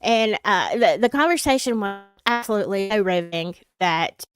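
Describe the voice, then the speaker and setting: A woman's voice: medium pitch, expressive, normal speed, smooth, soft background music. Congested Southern woman chatting on a livestream. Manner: chatty and rambling, with many fillers and asides. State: congested with a sinus infection. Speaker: true crime livestream host. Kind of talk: casual livestream chat.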